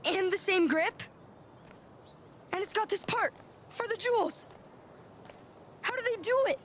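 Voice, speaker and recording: A woman's voice: very high voice, a little slow, fairly bright tone, a little hiss or hum. A woman voicing an earnest, imaginative young boy in a video game, with a childlike, eager manner. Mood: awed.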